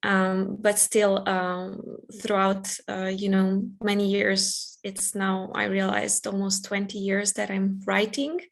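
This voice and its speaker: Gravelly-voiced woman, halting speech. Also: mid-range voice, completely flat delivery. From Croatia. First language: Croatian. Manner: halting, many filler pauses. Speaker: scientist and author, panelist. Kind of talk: online panel discussion.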